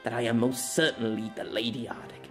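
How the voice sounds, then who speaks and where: A man voicing a confident, playful young noblewoman swordfighter in an audio story, soft-spoken but commanding, with grand archaic phrasing. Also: medium pitch, fairly even intonation, brisk, soft background music, close to an everyday voice. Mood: bright, determined.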